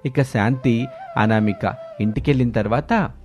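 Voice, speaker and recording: A man's voice: medium pitch, a little slow, a little mellow, soft background music. A man working as narrator, reading a scripted story in the third person.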